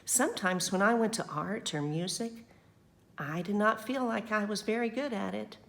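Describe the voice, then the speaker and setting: A woman reading a picture book aloud as a school librarian, expressive and affectionate, with chatty, encouraging asides to young children. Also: medium pitch, moderate pace, creaky.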